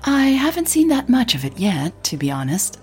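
A woman's voice: on the high side, big pitch swings, moderate pace, darkish tone, husky, faint music behind, voice pushed up, full character voice. A woman voicing an easygoing, candid young woman blogger in an audio drama. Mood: casual, polite.

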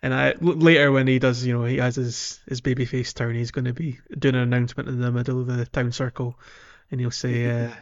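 A man's voice: mid-range voice, little pitch movement, fairly quick, few pauses, dull audio. A man co-hosting a podcast, casually discussing a video game in a chatty, rambling way with mild understatement. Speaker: podcast co-host.

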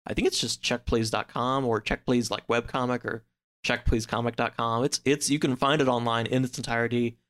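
Man chatting casually, halting, medium pitch. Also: fairly even intonation, a bit bright. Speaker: podcast guest. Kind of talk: casual book discussion.

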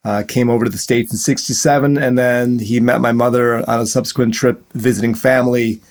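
Calm, measured man from Ohio. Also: medium pitch. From Cleveland, Ohio suburb. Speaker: interview guest. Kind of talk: calm, reflective interview answers.

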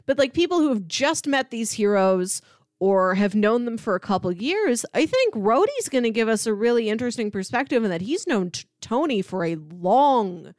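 A woman with a fairly high, animated voice talks casually as a podcast host. She explains things conversationally, and the sound is dry, with no room echo. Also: moderate pace. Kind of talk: casual pop-culture podcast chat.

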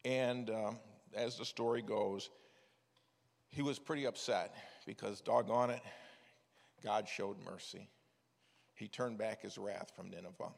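Older preacher with gravelly voice in a hall. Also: male voice, fairly low pitch, a little slow, pauses often. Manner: folksy storytelling with many restarts. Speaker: preacher, former missions director. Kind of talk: sermon. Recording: echoey hall with long reverb.

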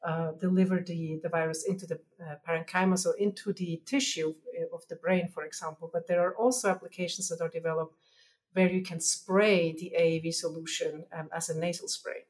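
A woman's voice: on the low side, steady tone, fairly quick. A woman from Switzerland with a Swiss German accent, explaining science as an interview guest in careful, sometimes hesitant phrasing.

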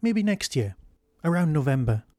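A man's voice: on the high side, very animated, normal speed, darkish tone, no echo, clean studio sound. A man voicing a weary time-travelling alien who earnestly pleads for a rest, in an audio story. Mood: pleading.

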